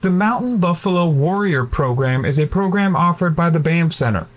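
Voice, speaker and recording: A man's voice: a little high, animated, moderate pace. A male reporter reading a scripted radio news piece.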